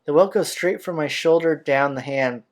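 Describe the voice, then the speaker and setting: A man's voice: on the high side, normal speed. A man hosting a let's-play, reading a visual novel's narration aloud. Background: game music.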